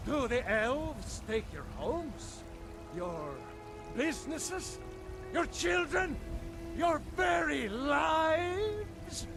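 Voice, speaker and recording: A man's voice: very high-pitched, lively intonation, very slow, music in the background, heavily acted. A man playing a fanatical street preacher in a fantasy game, declaiming fervently in ringing oratory. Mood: fiery, accusing. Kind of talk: fantasy video game dialogue.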